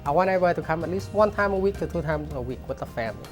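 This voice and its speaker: Low-voiced woman chef from Cambodia. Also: lively intonation, racing. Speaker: chef and restaurant owner. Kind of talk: interview to camera. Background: music.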